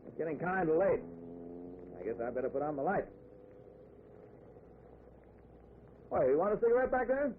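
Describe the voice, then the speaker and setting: An actor playing a friendly, talkative driver in a breezy, nosy manner, in 1940s radio drama style. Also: male voice, on the high side, sing-song, fast. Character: chatty, friendly middle-class man driving at night. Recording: tinny, noisy old radio recording.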